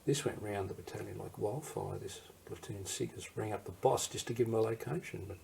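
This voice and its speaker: Gravelly older Australian man reminiscing. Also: lowish voice, a little fast, darkish tone, slight background noise. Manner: rambling anecdotal, digresses and restarts. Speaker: war veteran interviewee. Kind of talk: recorded interview storytelling.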